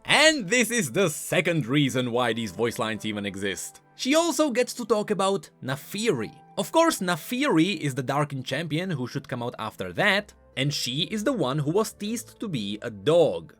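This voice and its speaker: A male YouTuber explains game lore in a fairly high voice with very lively swings of pitch. His delivery is scripted and emphatic, with light music behind him. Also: normal speed, hardly any pauses. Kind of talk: scripted commentary on game voice lines.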